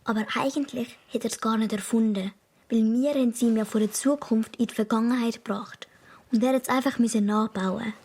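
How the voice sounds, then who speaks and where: A woman's voice: fairly high pitch, fairly even intonation, brisk, darkish tone, slightly rough. A woman playing a bold, cheeky young girl with a Swiss accent in a children's radio play. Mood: excited, secretive.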